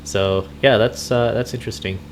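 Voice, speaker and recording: A man hosting a gaming roleplay as a truck driver, chatting casually and haltingly, repeating words as he thinks. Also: lowish voice, steady tone, a little hiss or hum. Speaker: gamer, video host roleplaying a truck driver. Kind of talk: casual improvised chat while gaming.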